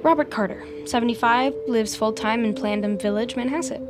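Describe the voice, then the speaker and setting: An actress playing an eager, awkward young tech team leader, stammering and rambling earnestly in a naturalistic audio drama. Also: female voice, on the high side, fairly quick, noisy background, natural voice. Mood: hesitant, wistful.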